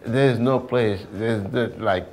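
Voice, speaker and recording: A man's voice: mid-range voice, takes it slowly, soft background music, poor audio. A man with a Senegalese accent talking informally in an interview as an artist, often repeating words and phrases. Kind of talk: casual interview talk.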